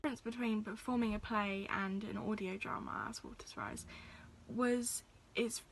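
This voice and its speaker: A woman's voice: medium pitch, steady tone, moderate pace. A young woman, reflecting on her youth theatre work in an interview style. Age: teens. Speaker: youth theatre company member.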